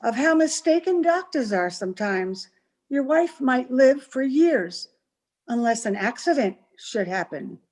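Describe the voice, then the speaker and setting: A woman playing a scheming, ambitious young farm girl in an old-time radio melodrama, taunting and deliberate. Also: sits high, lively intonation, a little mellow. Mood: sly, insinuating. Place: remote recording over Zoom.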